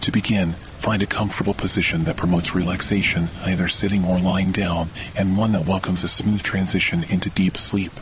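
Male meditation host, very deep voice, slow hushed soothing read, faint rain underneath. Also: flat delivery, noisy background. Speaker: meditation guide. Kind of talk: guided sleep meditation. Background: faint rain sounds.

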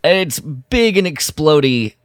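A man's voice: a little high, sing-song, slow, no echo, clean studio sound. A man co-hosting a podcast, chatting casually as a fan. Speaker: podcast co-host.

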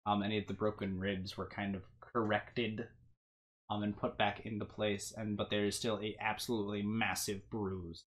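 A game master narrating a tabletop game in a halting, hesitant delivery. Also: low-pitched, little pitch movement, slight background noise.

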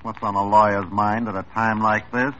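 An actor playing a smug, mocking lawyer with a sarcastic drawl in an old radio crime drama. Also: male voice, fairly low pitch, flat delivery, normal speed, sharp tone, slight background noise. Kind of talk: 1950s radio drama acting. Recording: dull 1950s radio drama recording.

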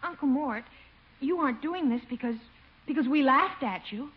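Affectionate teenage niece, very high sweet voice, radio comedy, muffled vintage recording. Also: female voice, expressive, normal speed. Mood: fond, concerned. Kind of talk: 1950s radio sitcom acting. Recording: dull, noisy old radio recording.

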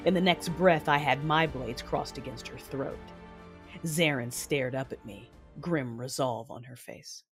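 A woman's voice: very deep voice, a little slow. A woman playing an intense, battle-hardened Sith mother who narrates her own story theatrically in an audio drama. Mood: grim, determined. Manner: brooding, theatrical, emphatic outbursts. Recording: music bed with rain sounds behind.

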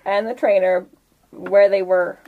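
A woman's voice: mid-range voice, flat delivery, unhurried, phone-quality sound. A woman narrating a tabletop game casually as the game master.

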